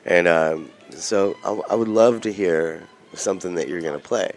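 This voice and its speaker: A man's voice: low-pitched, normal speed. A male radio host in casual chat, rambling and jokey, often restarting his sentences.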